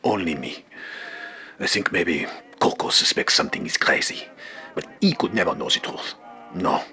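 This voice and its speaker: An actor playing an anxious, secretive boss in an audio drama, stammering and dropping into hushed tones. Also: male voice, sits low, animated, normal speed, exaggerated voice. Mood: flustered. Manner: stammering, flustered, lapsing into hushed whispers. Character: anxious, secretive boss of a janitor, devoted husband. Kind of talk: theatrical audio drama phone monologue.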